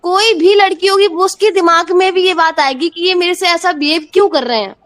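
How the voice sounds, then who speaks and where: A woman with a strikingly high voice takes part in an argumentative group call. She presses insistently to get her point across. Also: a little fast, fairly bright tone.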